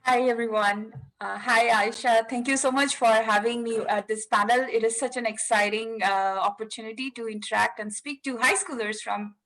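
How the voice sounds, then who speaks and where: A woman's voice: on the high side, fairly even intonation, normal speed, hardly any pauses, a bit bright, low-quality recording. A woman from India, a mechanical engineer, introducing herself on a video-call panel politely with small fillers.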